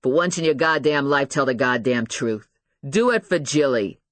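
A woman's voice: very deep voice, lively intonation, moderate pace, no echo. A woman voicing an aggressive, tough male police investigator in an audiobook, blunt, slangy and goading. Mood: loud, goading. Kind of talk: dramatised audiobook dialogue.

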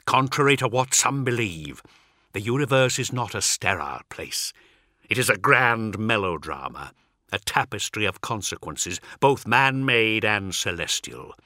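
Man voicing a portentous, cryptic dark apostle. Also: fairly low pitch, lively intonation, measured pace, no echo, clean studio sound. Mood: grandiose, cryptic. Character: cryptic, grandiose dark apostle warrior, coolly mocking.

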